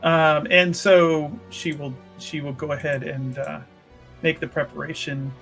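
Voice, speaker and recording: A man's voice: a little high, little pitch movement, measured pace, vocal fry, a bit bright, faint music behind. A man playing a tabletop roleplaying game, improvising narration for his character in a casual, wry, conversational way.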